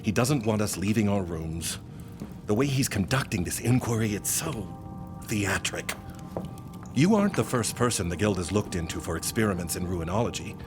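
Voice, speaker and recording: An actor in an audio drama playing a concerned, proud senior scholar and mentor who questions insistently. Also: male voice, on the low side, animated, normal speed, natural voice. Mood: wary, insistent. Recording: music under the voice.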